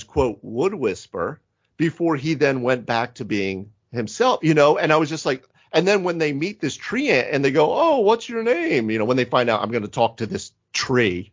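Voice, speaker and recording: A man's voice: a little high, no echo, dull audio. A man chatting casually about tabletop games as a podcast host, retelling moments and acting out dialogue. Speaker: podcast host and game master. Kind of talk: casual tabletop gaming chat.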